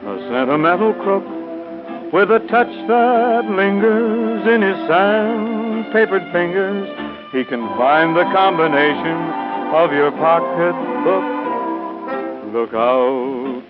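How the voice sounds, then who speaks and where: High-voiced man singing on a tinny old record. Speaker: singer. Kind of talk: old-time popular novelty singing. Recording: tinny, band-limited vintage record. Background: musical accompaniment.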